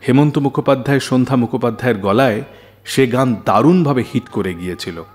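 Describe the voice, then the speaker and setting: A man's voice: medium pitch, fairly quick, a little mellow. A man hosting a radio show, telling scripted stories in a dramatic way with rhetorical questions. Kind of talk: scripted storytelling narration.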